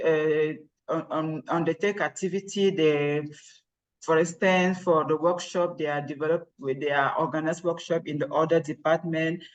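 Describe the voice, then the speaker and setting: A woman's voice: low voice, muffled recording. A woman presenter answering on a video call, hesitant with many fillers and non-native phrasing.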